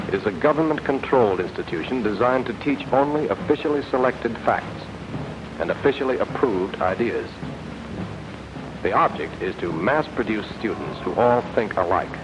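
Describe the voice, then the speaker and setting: Low, grave newsreel-style narrator, male. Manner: grave, emphatic, declamatory. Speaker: propaganda film narrator. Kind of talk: 1940s scripted documentary narration. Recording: noisy 1940s film soundtrack.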